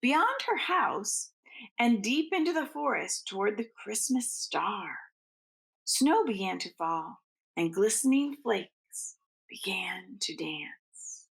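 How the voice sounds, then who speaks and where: A woman reading a children's story aloud like a teacher, expressively, with drawn-out phrasing and hushed asides. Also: mid-range voice, big pitch swings, vocal fry, low-quality recording.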